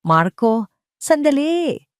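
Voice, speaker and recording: A heartbroken young wife in an audio drama acted by a woman narrator, trembling and pleading. Her voice lifts high and swings emotionally, recorded close and dry. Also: big pitch swings, slow pace, dry recording, full character voice. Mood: desperate.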